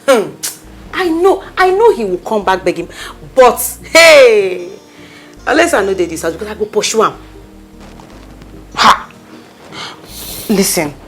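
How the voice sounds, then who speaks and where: A woman's voice: medium pitch, sing-song, unhurried, pauses often, faint music behind. A woman with a Nigerian accent, acting a loyal best friend who insistently talks her heartbroken friend up. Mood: comforting. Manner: emphatic, insistent, coaxing pep talk. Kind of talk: skit acting.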